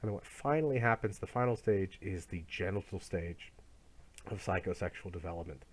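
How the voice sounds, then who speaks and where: A man's voice: deep voice, brisk. A man in his thirties giving a recorded college lecture, talking loosely and conversationally with frequent restarts.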